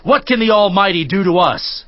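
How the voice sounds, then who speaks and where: A man's voice: sits high, lively intonation, normal speed, no room sound. An actor playing a stern friend who lectures a suffering man in a dramatized scripture reading, admonishing and preacherly. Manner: admonishing, preacherly, rising and falling emphasis. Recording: slightly dull dry studio recording.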